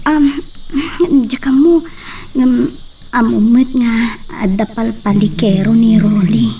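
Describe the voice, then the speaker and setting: A woman playing a shy young student in love, who speaks hesitantly and softly. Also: fairly high pitch, fairly even intonation, slow, far from the mic, a little hiss or hum. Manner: hesitant, soft-spoken. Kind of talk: melodrama acting.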